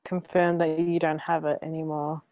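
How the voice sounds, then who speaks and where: Blunt woman, low voice. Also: little pitch movement, normal speed, dry recording, phone-quality sound. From Australia. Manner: blunt, swears freely, laughs easily. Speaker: podcast co-host. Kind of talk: casual chat.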